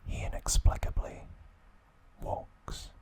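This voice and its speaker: A man hosts an ASMR recap entirely in a whisper. He speaks very slowly and softly, laying out the story in short, measured phrases with pauses between them. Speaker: ASMR channel host.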